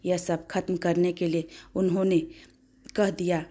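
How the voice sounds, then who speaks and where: A woman narrating a dramatic moral story in an expressive, emotive way, now and then stumbling over her words. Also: lowish voice, a little slow.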